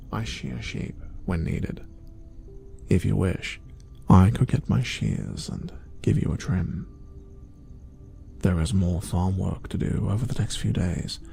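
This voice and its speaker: Gentle wolf farmer for fantasy ASMR roleplay, male performer: very low, dark and hushed, slightly formal, softly whispering. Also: vocal fry, full character voice.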